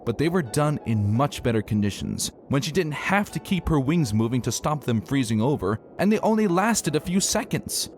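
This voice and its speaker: A man's voice: medium pitch, normal speed, hardly any pauses, a little hiss or hum. A man giving a dramatic, theatrical reading of a scripted story as narrator. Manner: expressive, theatrical delivery. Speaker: narrator reading fan fiction aloud. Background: music.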